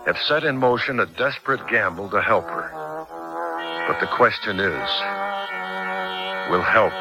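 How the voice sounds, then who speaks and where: A man's voice: fairly low pitch, lively intonation, measured pace. A man narrating a 1970s radio drama in a dramatic, scripted style. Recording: music under radio narration.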